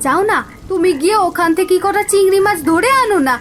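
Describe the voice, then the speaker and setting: A woman's voice: very high-pitched, brisk. A woman playing a poor village wife and mother in a cartoon folk tale, worried, coaxing and lamenting. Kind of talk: cartoon fairy-tale dialogue acting.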